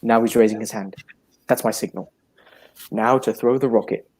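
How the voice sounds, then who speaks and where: An actor playing a loyal, earnest gentleman sidekick in old-style radio drama, speaking politely and inquisitively. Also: fairly low pitch, fairly even intonation, normal speed, a little hiss or hum, lightly acted. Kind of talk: 1940s radio drama acting.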